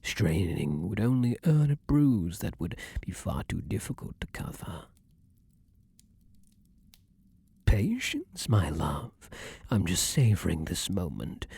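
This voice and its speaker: Breathy, lively-voiced vampire king played by a man. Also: fairly low pitch, unhurried, no echo, exaggerated voice. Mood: tender, hungry. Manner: courtly, archaic, formal phrasing. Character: male vampire king, ardent, formal, possessive yet protective lover. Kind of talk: intimate ASMR roleplay acting.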